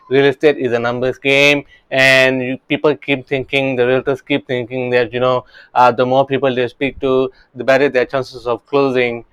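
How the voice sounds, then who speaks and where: A man's voice: mid-range voice, clean tone, dry recording, poor audio. A man in his thirties from Singapore, a real estate coach talking earnestly about his early career, often restarting his sentences. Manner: earnest, many restarts and "you know, right?" tags.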